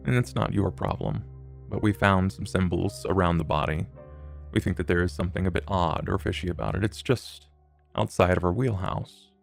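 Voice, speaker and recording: Man playing his character in a tabletop game, really deep low voice, gravelly, slow formal way of talking, faint music under it. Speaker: player.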